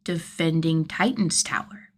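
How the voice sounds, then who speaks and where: A woman's voice: sits low, measured pace. A woman voicing a prim, suspicious, bossy young boy in an audiobook story, with a pointed, defiant delivery. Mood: prim warning. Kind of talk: acted audiobook dialogue.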